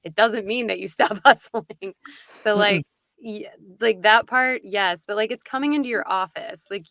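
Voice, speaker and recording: A woman's voice: medium pitch, fairly bright tone, no room sound, clean studio sound. A woman speaking casually and emphatically on a panel as a voice actor, giving informal advice. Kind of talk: casual roundtable discussion.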